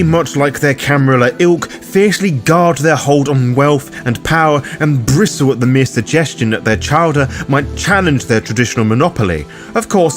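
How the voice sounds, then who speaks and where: Male guide narrator, mid-pitched, formal and dramatic with a cheeky streak, background music underneath. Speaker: presenter of a roleplaying guide. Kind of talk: scripted narration, dramatic explainer.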